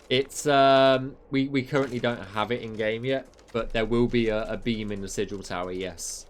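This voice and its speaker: A man's voice: medium pitch, steady tone, unhurried, a little hiss or hum. A man, a game mod developer chatting casually on a livestream, rambling and answering chat with many fillers, his voice getting tired. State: losing his voice.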